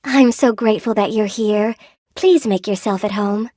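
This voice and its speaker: An actress voicing a gentle, lonely spider-woman shopkeeper in a video game, polite and sweetly attentive, with old-fashioned genteel phrasing. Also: medium pitch, moderate pace, no echo, studio quality, close to an everyday voice. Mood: warm, welcoming. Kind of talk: video game character voice acting.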